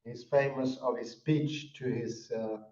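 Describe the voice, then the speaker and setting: A man's voice: medium pitch, fairly even intonation, a little slow, a little mellow. A Swedish man in casual discussion, musing and repeating words as he thinks. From Sweden. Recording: distant, muffled, echoey.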